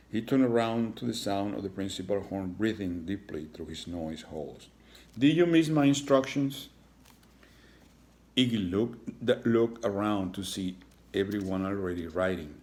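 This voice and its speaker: A man narrating a children's storybook aloud, reading with occasional halting stumbles. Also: low-pitched, darkish tone. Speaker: storybook reader.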